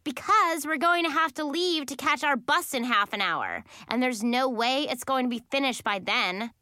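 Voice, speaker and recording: Woman putting on a whiny, high-pitched snooty girl. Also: lively intonation, moderate pace, no room sound, studio quality, lightly acted. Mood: whiny, sour. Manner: whiny, haughty, indignant. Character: snooty, complaining young girl. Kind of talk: children's audiobook character voice.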